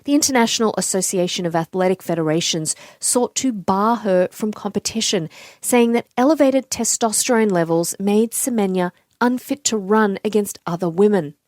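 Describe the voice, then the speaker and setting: A woman hosting a public radio interview from a studio, calm and measured, with clearly read introductions and gentle questions. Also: medium pitch, dry recording.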